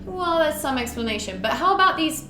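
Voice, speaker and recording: A woman's voice: high voice, expressive, fairly quick, pushed and bright. Wry woman in an echoey room. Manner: blunt, sweary, wry. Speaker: co-host. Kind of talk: casual reaction chat with reading aloud. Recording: roomy echo, faint background noise.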